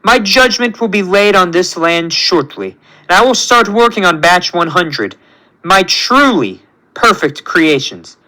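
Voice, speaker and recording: A man as a pompous, sneering self-made god villain, high-pitched. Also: lively intonation, measured pace, bright, forceful tone, full character voice, poor audio. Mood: gloating. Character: arrogant megalomaniac male self-made god villain, cruel and contemptuous.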